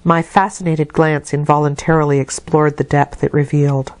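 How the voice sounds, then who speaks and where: A woman reading a classic novel aloud as an audiobook narrator, in a measured, expressive literary delivery. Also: low voice, no echo. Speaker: audiobook reader.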